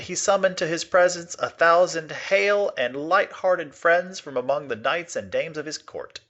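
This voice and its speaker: A man's voice: medium pitch, a bit bright, slightly rough. A man reading aloud expressively in the manner of a teacher giving a literature lesson, on a slightly dull recording.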